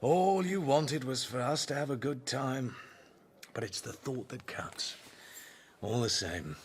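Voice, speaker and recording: Guy playing patronising rich husband in a radio play, teasing and fond, very animated, bit of noise behind. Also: medium pitch, measured pace, close to an everyday voice. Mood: contented. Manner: condescending, teasing endearments. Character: well-off patronising husband in colonial Calcutta, fondly teasing. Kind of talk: radio drama acting.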